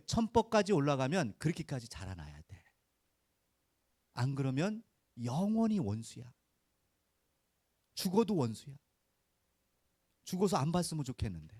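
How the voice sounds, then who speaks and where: A man's voice: a little high, dark tone, no echo, studio quality. A man preaching a conversational Sunday sermon as a pastor, in a folksy, informal way, often putting short rhetorical questions to his listeners. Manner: asks rhetorical questions and prompts listeners for answers.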